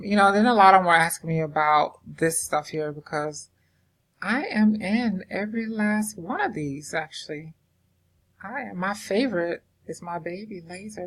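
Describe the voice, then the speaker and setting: A woman with a low voice and a Southern American drawl talks through her screen in a loose, rambling way, full of fillers and restarts. She sounds informal and unscripted, close to a desktop mic. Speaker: crypto investing vlogger. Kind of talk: casual screen-share walkthrough. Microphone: desktop microphone.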